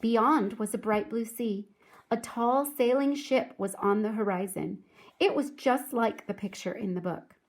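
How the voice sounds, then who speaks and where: A woman reading aloud to children like a teacher, speaking clearly and deliberately in a storybook narration style. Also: a little high. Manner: clear, deliberate, addresses young listeners.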